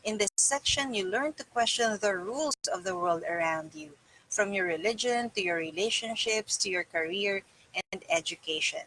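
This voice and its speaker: A woman's voice: mid-range voice, animated, moderate pace, fairly bright tone, slight background noise, low-quality recording. A middle-aged woman reading a self-help book aloud in a small room indoors. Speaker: reader.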